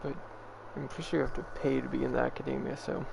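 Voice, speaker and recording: A man's voice: mid-range voice, flat delivery, fast, creaky, very noisy. A man giving casual, offhand gameplay commentary as a game streamer.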